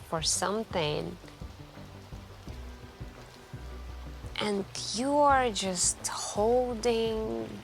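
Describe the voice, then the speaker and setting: Slow, soft-spoken wistful woman acted by a woman. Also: on the high side, vocal fry, heavily acted. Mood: melancholy, confiding. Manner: intimate, hesitant, musing, with gentle pauses. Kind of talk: soft-spoken ASMR roleplay.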